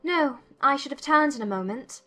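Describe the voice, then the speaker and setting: An actress playing a spirited, teasing young Regency gentlewoman in a dramatized audiobook, with arch, formal phrasing. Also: high-pitched, animated, moderate pace. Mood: polite. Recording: music behind the voice.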